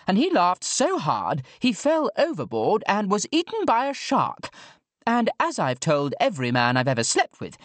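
A man voicing a jolly, brazen older woman with a posh English accent and a plummy, booming, blithely theatrical manner in an audiobook. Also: no room sound, heavily acted. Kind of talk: audiobook character dialogue.

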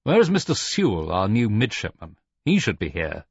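A man's voice: mid-range voice, sing-song, unhurried, no echo, muffled recording. A man playing a stern, cool senior naval officer with a clipped, commanding manner in an audiobook.

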